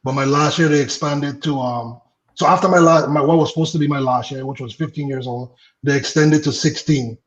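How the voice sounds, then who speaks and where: A man in his late thirties from the Virgin Islands tells stories in a loose, easygoing way, with easy laughs and plenty of fillers. His voice sits a little high for a man. The recording echoes and sounds dull and lo-fi. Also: fairly quick. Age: late 30s. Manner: laughs easily, relaxed, trails off with fillers. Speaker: guest, former baseball pitcher. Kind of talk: casual interview storytelling.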